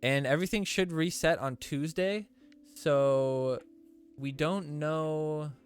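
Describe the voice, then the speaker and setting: A man's voice: a little high, slow, clear, resonant tone, faint music behind. A man hosting a gaming live stream in casual commentary, sounding stuffy and rambling with lots of fillers and restarts. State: stuffy nose.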